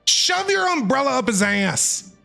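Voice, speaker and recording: A man's voice: extremely high pitch, very animated, unhurried, creaky, sharp tone, soft background music. A man livestreaming a game, reacting in casual, blunt slang and often repeating himself. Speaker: game streamer.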